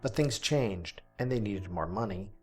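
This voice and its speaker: A man playing an earnest small-town radio host, delivering a scripted monologue deliberately, with dramatic pauses and emphasis. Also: lowish voice, lively intonation, soft background music. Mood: somber storytelling.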